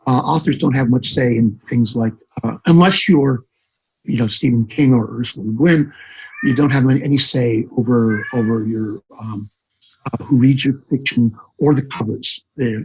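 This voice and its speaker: A man with a husky voice being interviewed as an author, speaking haltingly and often repeating words as he thinks. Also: medium pitch, a little mellow, dull audio. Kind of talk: podcast interview conversation.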